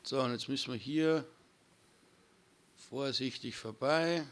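A hoarse man, chatting casually to viewers while commentating his own gameplay. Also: mid-range voice, slow pace. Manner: chatty, addresses viewers as friends. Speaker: gaming channel host. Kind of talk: casual let's-play commentary.

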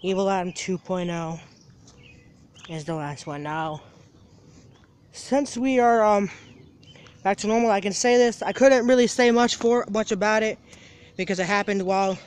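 A high-voiced seventeen-year-old young man talks into a phone held at arm's length while standing outdoors. He rambles through a casual daily vlog, repeating phrases and restarting his sentences. Faint outdoor noise sits under his voice. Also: fairly even intonation, normal speed, pauses often. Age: teens. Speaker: vlogger. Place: outdoors by a parking lot and lawn.

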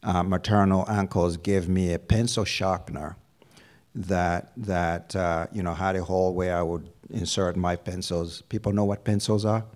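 A middle-aged man from Uganda, a university provost, answering interview questions on a campus stage with a handheld mic, measured and full of fillers. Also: low-pitched, steady tone, a little mellow. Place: lounge stage before an audience. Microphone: handheld microphone.

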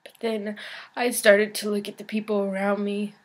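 A woman performing a dramatic monologue as a despairing young woman, speaking in a halting, emotional confession. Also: mid-range voice, monotone, close to an everyday voice. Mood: subdued sadness. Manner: halting, emotional, swinging from hushed to anguished. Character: despairing suicidal young woman baring her pain in confession.

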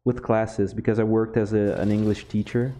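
A young man from Brazil, a Portuguese speaker with a Brazilian accent, chatting casually over gameplay, relaxed and laughing often. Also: fairly low pitch, normal speed. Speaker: gaming YouTuber.

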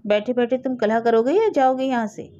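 Sharp-tongued, bossy younger sister, acted by a woman. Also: mid-range voice, expressive, normal speed, highs cut off, slight background noise, natural voice. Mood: fierce, commanding. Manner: scolding, commanding, curt. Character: hot-tempered, sharp-tongued younger sister in village household.

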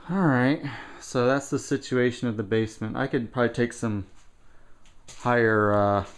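A man giving a casual, unscripted walkthrough while filming indoors, thinking aloud in halting short phrases. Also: on the low side. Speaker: person filming a home repair walkthrough. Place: indoors, house basement with block walls. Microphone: handheld camera, held by the speaker.

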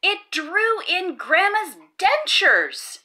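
A woman's voice: very high-pitched, very animated, extremely slow, sharp tone. A woman hosting a children's read-aloud, reading a rhyming picture book in a playful, sing-song way. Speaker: storybook read-aloud host.

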